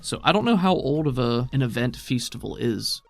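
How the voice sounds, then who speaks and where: A man's voice: mid-range voice, animated, moderate pace, gravelly, soft background music. A man working as game master, improvising narration for a tabletop role-playing game.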